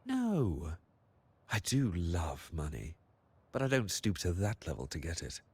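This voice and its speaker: An actor voicing a sly, money-loving manager in a video game, with theatrical, mocking formality and a condescending air. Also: male voice, deep voice, wildly swooping pitch, a little slow, darkish tone, husky, heavily acted. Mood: indignant.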